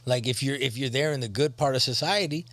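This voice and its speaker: A man's voice: mid-range voice, fast, fairly bright tone, a little hiss or hum. A man co-hosting a casual podcast chat, rambling and swearing often, restarting his sentences as he goes. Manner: rambling, profane, restarts a lot. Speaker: podcast co-host.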